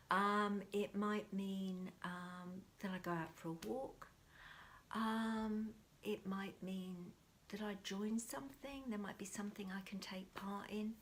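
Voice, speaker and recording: A woman guiding a meditation in a soft, hushed, whispery voice, gentle and reflective with many pauses. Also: medium pitch, slight background noise. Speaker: meditation teacher. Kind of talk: guided meditation talk.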